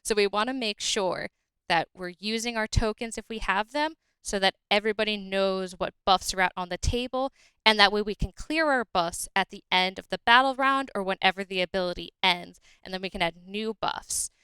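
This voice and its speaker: A woman's voice: mid-range voice, normal speed, sharp tone, clear, resonant tone, no room sound, low-quality recording. A woman presenting game tips as a guest, explaining steadily and in order.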